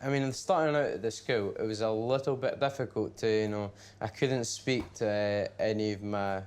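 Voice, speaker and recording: A man's voice: fairly low pitch, moderate pace, a little mellow, smooth. A man in his twenties from Syria with a slight Scottish accent, a pastry chef explaining his baking in casual chat. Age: 20s. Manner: plain, friendly, explaining step by step.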